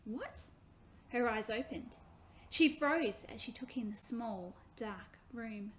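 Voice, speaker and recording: A woman reading her own children's book aloud in an expressive, animated way. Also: on the high side, slow.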